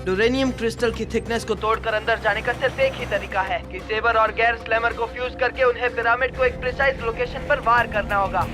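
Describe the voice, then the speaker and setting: Casting: serious, analytical cartoon teammate, very high for a man, music clearly underneath. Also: fairly even intonation, fairly quick, hardly any pauses. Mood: serious, explanatory. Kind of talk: animated cartoon dubbing. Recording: music behind voice.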